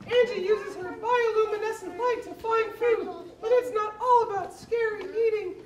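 A woman's voice: very high voice, normal speed. A woman voicing a boastful little glowing fish in a live puppet show, chirpy and exclamatory. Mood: perky. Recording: dull, roomy theatre recording.

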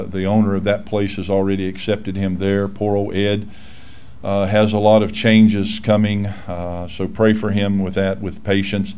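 Older male pastor, low and smooth, folksy and self-deprecating, lectern mic in a small church room. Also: darkish tone. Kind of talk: casual church announcements. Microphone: lectern microphone.

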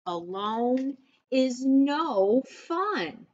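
Expressive American woman teacher, lively pitch, unhurried. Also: lo-fi sound. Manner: expressive, deliberate, instructing listeners directly. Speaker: first-grade teacher. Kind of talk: picture book read-aloud for young children with teaching asides. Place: small room indoors, seated at a desk.

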